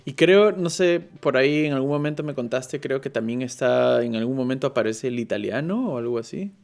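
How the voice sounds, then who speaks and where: A man interviewing a guest in a relaxed chat, hesitant and full of fillers and restarts. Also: mid-range voice, brisk, vocal fry. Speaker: interviewer and show moderator.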